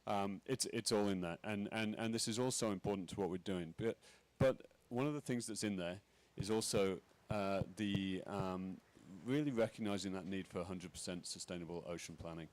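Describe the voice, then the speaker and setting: A very deep-voiced man giving remarks at a conference panel. He talks in a halting way, with lots of "um"s and restarts as he works through his points. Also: darkish tone, slight background noise. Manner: halting, many fillers and restarts. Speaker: panel speaker. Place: conference pavilion.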